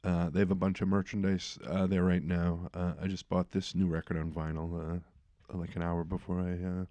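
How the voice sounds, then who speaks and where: A middle-aged man with a notably low voice hosts a casual podcast chat. He talks in a relaxed way, dotting his sentences with filler sounds. The recording is close and dry. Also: normal speed, dry recording. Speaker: podcast host.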